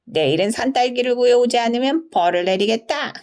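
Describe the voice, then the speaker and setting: A woman's voice: fairly high pitch, a little fast, darkish tone, voice pushed up, close to an everyday voice. Woman voicing a bullying, imperious village magistrate. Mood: demanding. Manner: imperious, demanding. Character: grumpy, stubborn, bullying male village magistrate. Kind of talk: storybook character reading.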